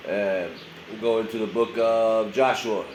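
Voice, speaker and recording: A man's voice: on the low side, steady tone, unhurried, darkish tone. Male pastor, nasal from a head cold, casual filler-heavy preaching; echoey room, faint hum. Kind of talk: short daily sermon.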